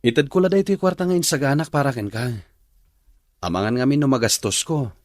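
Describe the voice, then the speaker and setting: A man's voice: mid-range voice, very animated, a little fast, no echo, lightly acted. Guy acting a young ilocano boyfriend, earnest, radio drama, dry close sound. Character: Andrew, young man doubting his girlfriend, earnest.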